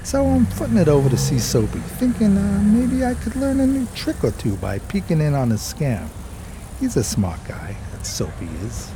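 A man's voice: fairly high pitch, noisy background. A man playing a broke, eager 1920s New York street drifter in a radio play, talking in hesitant slang. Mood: wry storytelling. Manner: slangy, hesitant, colloquial storytelling. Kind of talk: radio play acting and first-person narration.

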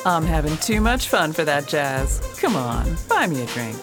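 A woman acting a brash, animated party girl. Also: low voice, music in the background. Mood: teasing. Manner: sassy, slangy, drawn-out teasing. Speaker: actor in a radio play. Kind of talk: radio theatre acting.

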